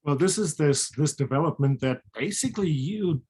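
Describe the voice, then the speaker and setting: Husky middle-aged voice with a German accent. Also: medium pitch, animated, normal speed, a little mellow. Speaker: physician and co-author, guest. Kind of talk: casual chat.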